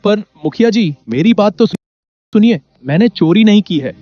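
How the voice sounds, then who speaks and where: A man's voice: sits high, lively intonation, moderate pace, dark tone, no echo. Humble, earnest farmer played by a man, slightly muffled. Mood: alarmed, pleading. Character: naive, honest poor farmer, humble newcomer. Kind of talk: animated moral story character acting. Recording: dull lo-fi sound under music.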